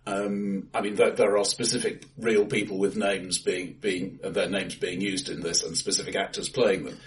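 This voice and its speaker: Distant, low-voiced man in casual discussion. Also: level tone, normal speed. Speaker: podcast co-host. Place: acoustically bright room, face to face. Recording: echoey bright room, distant from mic.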